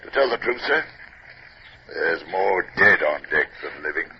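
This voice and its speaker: Casting: deferential, plain-spoken ship's officer, deep register, vintage radio drama, slightly hissy and dull. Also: male voice, animated, a little slow, creaky, full character voice. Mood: tired, grave. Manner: respectful, matter-of-fact. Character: loyal, deferential, plain-spoken male naval first officer. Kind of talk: 1950s radio drama acting. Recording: muffled, hissy old radio recording.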